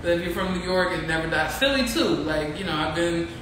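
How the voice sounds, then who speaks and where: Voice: mid-range voice, fast. Host with a Philly accent, roomy echo. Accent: Philadelphia. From Philadelphia. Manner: chatty, laughing, animated. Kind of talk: casual interview chat. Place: pizzeria on location. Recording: echoey room, far mic, noise.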